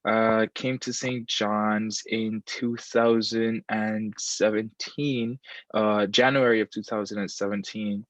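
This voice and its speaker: Young man from Guyana, relaxed chatty guest, dry muffled lo-fi recording. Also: on the low side, slow, dry recording. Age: 20s. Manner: relaxed, repeats phrases, many fillers. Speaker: interview guest, alumnus. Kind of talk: casual interview chat. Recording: dull lo-fi sound.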